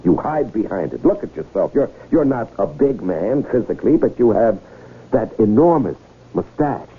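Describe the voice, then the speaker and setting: An actor playing a smooth-talking, goading male swindler in 1970s radio drama style, rhetorical and needling, with dramatic pauses. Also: fairly low pitch, very animated. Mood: accusing, theatrical. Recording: muffled 1970s radio broadcast recording.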